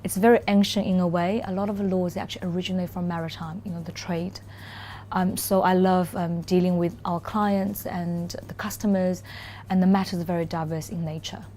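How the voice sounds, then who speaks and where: A woman in her thirties from China, a Mandarin native speaking English with a Chinese accent, answering interview questions thoughtfully, with frequent "um" pauses and restarts. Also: lowish voice, little pitch movement, mellow, a little hiss or hum. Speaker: corporate lawyer, interview guest. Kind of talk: relaxed interview conversation.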